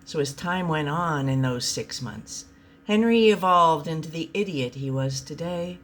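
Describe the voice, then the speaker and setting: Female storyteller, slightly low voice, slow delivery, reading a comic short story.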